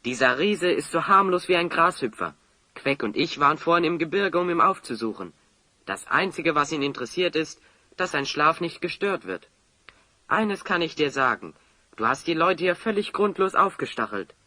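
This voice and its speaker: A plucky little dwarf hero from an audio drama. The voice is fairly high, very lively and bright, cheeky and teasing, with faint noise behind it. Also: male voice, normal speed, dry recording. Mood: stern. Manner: teasing, animated. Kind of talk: German children's audio drama acting.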